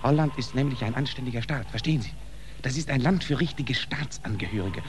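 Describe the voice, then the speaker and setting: A man's voice: mid-range voice, brisk, natural voice. A man playing a self-important, bullying border policeman in a 1940s radio play, with a curt, officious manner. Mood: indignant, scornful. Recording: noisy old radio recording.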